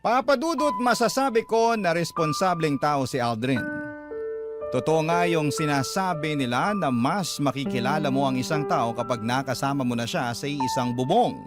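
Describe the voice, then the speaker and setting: Male radio narrator over loud music. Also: on the high side, lively intonation, normal speed. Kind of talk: scripted dramatic narration of a listener's letter. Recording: loud background music throughout.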